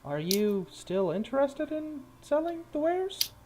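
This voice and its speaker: Game master playing hesitant little halfling messenger, high-pitched. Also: very animated, background noise. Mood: hesitant, apologetic. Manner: hesitant, formal, halting.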